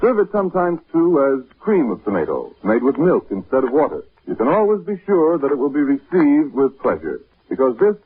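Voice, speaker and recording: A husky, muffled vintage radio recording of a male announcer. He delivers a scripted advertisement with measured persuasion and very varied intonation, in a medium-pitched voice. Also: very animated.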